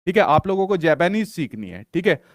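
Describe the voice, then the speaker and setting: A man's voice: a little high, very animated, brisk, dry recording, clean studio sound. A young male teacher lecturing in an online exam-prep class, repeating points and asking rhetorical questions he answers himself. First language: Hindi.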